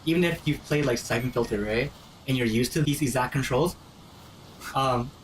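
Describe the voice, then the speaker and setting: A man's voice: medium pitch, moderate pace, a little hiss or hum, poor audio. A man in casual gaming commentary, explaining things to friends in an enthusiastic, informal, slangy way. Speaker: host explaining the game to friends.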